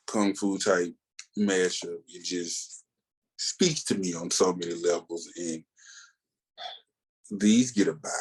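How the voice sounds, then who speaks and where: A middle-aged man co-hosting a podcast, chatting casually in a laid-back, halting, slangy way. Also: low-pitched, husky, rough recording. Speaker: podcast co-host and reviewer. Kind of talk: casual chat.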